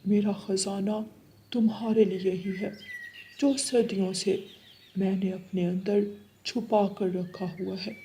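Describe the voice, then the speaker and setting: A performer voicing an ancient, gentle male tree in a children's cartoon, solemn and breathy. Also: medium pitch, little pitch movement, takes it slowly, a little hiss or hum. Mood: solemn, benevolent. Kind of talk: Hindi children's cartoon acting.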